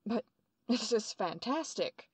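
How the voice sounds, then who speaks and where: An actress playing a determined young female detective in an earnest, crisp old-time radio drama style. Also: on the high side, animated, slow, dry recording, heavily acted. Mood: earnest, respectful.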